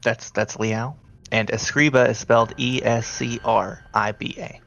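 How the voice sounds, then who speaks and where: A man running a tabletop horror game as game master, talking in a halting, conversational way. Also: medium pitch, fairly even intonation, creaky, fairly bright tone, dull audio.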